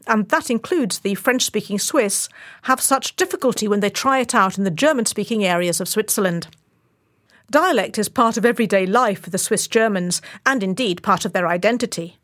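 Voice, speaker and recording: A woman reporter delivering a scripted radio feature with clear, explanatory narration. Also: a little high.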